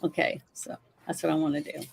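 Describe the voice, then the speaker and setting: A woman's voice: deep voice, little pitch movement, a little fast, creaky, breathy edge. A woman playing a tabletop game, talking casually through what her character wants to do.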